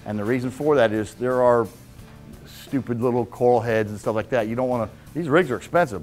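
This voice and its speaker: A man in his fifties giving a casual, blunt fishing seminar in a club meeting room, checking in with "okay?" as he goes. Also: mid-range voice. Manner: blunt, folksy, repeats "okay?" to check listeners. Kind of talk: casual hands-on fishing talk.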